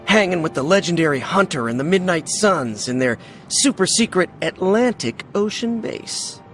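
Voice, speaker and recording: Young game superhero, high-pitched, very animated, nerdy, self-deprecating, chatty. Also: male voice, normal speed, soft background music. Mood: self-mocking wonder. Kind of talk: video game cutscene acting.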